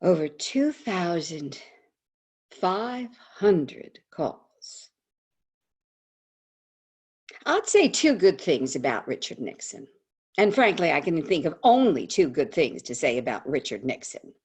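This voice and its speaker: A woman playing an older advice columnist, tender and frank, in a husky-voiced staged monologue over a video call. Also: deep voice, very animated, measured pace, darkish tone, heavily acted. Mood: frank, wry.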